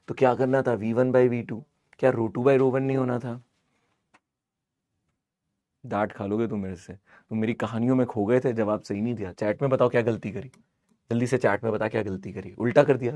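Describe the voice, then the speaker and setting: Hoarse, low-voiced man teaching maths. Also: mellow, dry recording. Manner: teasing, scolding, repeats phrases for emphasis. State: hoarse, sore throat. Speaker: maths teacher of an online class. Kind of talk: informal live online lesson.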